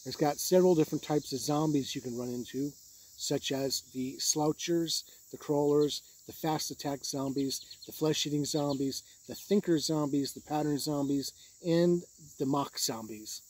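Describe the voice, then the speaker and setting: A man's voice: a little high, steady tone, moderate pace, a little mellow, a little hiss or hum. A man giving an informal, unscripted review in a plain-spoken, matter-of-fact way. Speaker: tabletop game reviewer.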